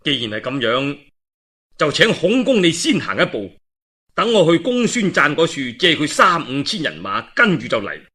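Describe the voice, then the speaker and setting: A man's voice: on the high side, very animated, moderate pace, pushed and bright, breathy edge, exaggerated voice. A man with a Cantonese accent voicing a grave, earnest lord who urges others to duty in formal speech, in a storybook tale. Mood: urgent, grave.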